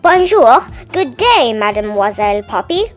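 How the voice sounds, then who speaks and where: A woman puts on a friendly Parisian baker from a picture book, high-pitched for a man. He is hearty and welcoming, with faint music behind. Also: very animated, unhurried. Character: friendly male Parisian baker greeting a child.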